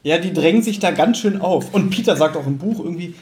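A man's voice: on the high side, animated, brisk, darkish tone, a little hiss or hum. A man in his thirties co-hosting a podcast, casually discussing an audio drama and acting out quotes as he retells. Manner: acts out quotes while retelling. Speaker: podcast co-host.

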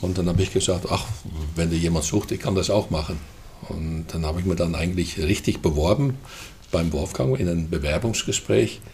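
An older man from the Netherlands with a Dutch accent, talking hesitantly with many fillers and throat clearing as an interview guest. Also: low-pitched, a little fast, darkish tone, a little hiss or hum. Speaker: guest, festival co-manager. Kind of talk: casual interview chat.

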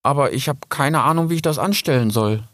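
A man's voice: mid-range voice, fairly quick, a bit bright, no echo, studio quality, pitched above the performer's own voice, close to an everyday voice. A man voicing an earnest, cautious young male animal in a storybook, his voice raised well above his own.

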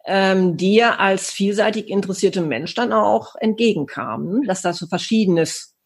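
A woman's voice: medium pitch, unhurried, husky. A woman hosting an interview, summing up answers and asking follow-up questions. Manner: summarizes and asks follow-up questions. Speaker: interviewer, podcast host.

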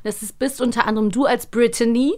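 A woman's voice: on the high side, fairly even intonation, fast. A woman hosting a casual studio interview, talking in an enthusiastic, effusive and wordy way. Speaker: podcast interviewer, host.

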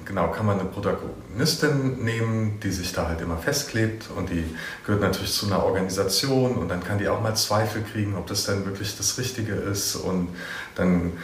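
Low-voiced man in an echoey room. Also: fairly quick. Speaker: interviewer. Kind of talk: casual chat. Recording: echoey room, faint background noise.

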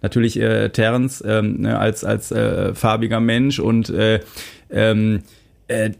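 A man in casual podcast chat as co-host, speaking in halting, broken phrases and often checking for agreement. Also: on the low side, flat delivery, gravelly.

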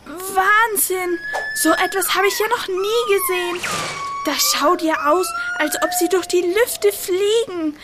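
A woman's voice: very high-pitched, unhurried, background noise. A woman playing a small, boastful, childlike young male squirrel who exclaims eagerly in a children's audio drama. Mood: amazed. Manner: exclaiming, stammers when timid.

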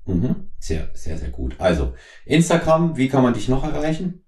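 A middle-aged man from East Germany hosting a conversational podcast interview, speaking in wordy, halting phrases. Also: medium pitch, big pitch swings, warm tone. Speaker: podcast host. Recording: roomy, echoing voice recording.

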